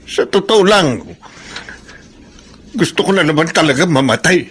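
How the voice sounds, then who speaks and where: High, raspy, bitter old Filipino father. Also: expressive, a little slow, fairly bright tone, heavily acted. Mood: bitter, resigned. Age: older. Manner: aggrieved, accusing, sulking. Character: bitter, self-pitying old Filipino father, feeling unwanted. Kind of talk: radio drama acting.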